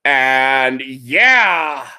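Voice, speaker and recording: Male podcast host, mid pitch with very lively intonation, loose and enthusiastic fan chat. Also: drawn-out words, clear, resonant tone. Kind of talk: casual chat about anime.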